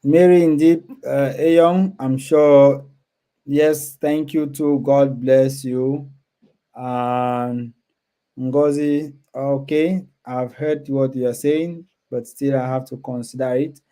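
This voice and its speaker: A man with a dark, mellow voice at a middle pitch hosts a casual live stream. He speaks to his viewers informally and often repeats words and short phrases as he goes. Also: steady tone, slow. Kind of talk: casual livestream chat, reading and answering viewer comments.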